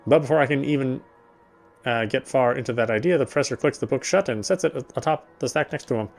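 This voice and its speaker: A man reads a horror visual novel in the first person at an ordinary middle pitch. He stumbles and restarts words now and then, and faint music plays beneath his voice. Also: quick pace, gravelly. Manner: steady reading with frequent restarts of words. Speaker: narrator.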